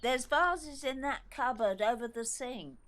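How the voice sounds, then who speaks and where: A woman's voice: sits high, lively intonation, measured pace, a bit bright. An actress playing a fragile mother of a grown son in an audio play, with a wistful, girlish manner. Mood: fretful, wistful.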